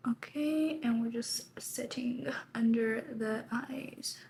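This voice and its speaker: A woman in her twenties, her voice raspy from a lost voice, chatting casually while doing her makeup, laughing often. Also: fairly high pitch, slow pace, darkish tone, a little hiss or hum, rough recording. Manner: chatty, rambling, laughs often, says y'all. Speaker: beauty vlogger. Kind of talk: casual get-ready-with-me chat.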